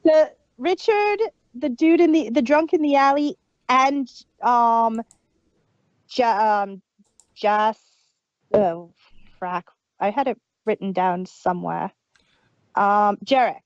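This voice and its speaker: A woman's voice: a little high, slow, pauses often, dry recording. A woman casually chatting as a podcast co-host, laughing often and trailing off mid-sentence, on a dull, muffled recording. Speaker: podcast co-host reviewing an audio drama. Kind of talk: casual chat.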